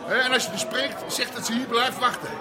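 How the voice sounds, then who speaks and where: Impatient hothead, very high voice, agitated, radio play, music and chatter behind. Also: male voice, a little fast. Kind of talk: Dutch radio drama acting.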